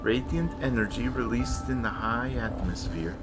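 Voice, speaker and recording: A man in a dramatic reading playing a hard, taunting polar explorer with grim philosophy, in a theatrical, cutting and deliberate delivery. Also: mid-range voice, natural voice. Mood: somber, musing. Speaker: stage actor. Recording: loud music behind the voice. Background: music.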